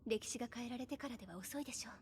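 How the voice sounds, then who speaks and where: A woman's voice: on the high side, rapid-fire, slight background noise, natural voice. A woman voicing a refined, composed young noblewoman with polite, ladylike speech in an anime game, over music. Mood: composed, earnest. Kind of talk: Japanese anime game voice acting. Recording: music behind the voice.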